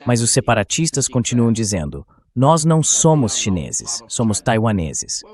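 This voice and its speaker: A man's voice: medium pitch, big pitch swings, normal speed, a little mellow. A man with a Brazilian accent speaking as a dubbed interview guest, giving political commentary in an emphatic, didactic manner.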